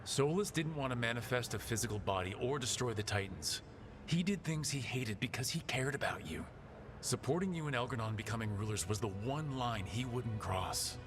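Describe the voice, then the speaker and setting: A man's voice: mid-range voice, expressive, moderate pace, music in the background, natural voice. A man playing a determined, heroic protector in a video game, speaking directly and insistently as he pleads a case. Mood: firm, frank. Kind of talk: dramatic video game acting.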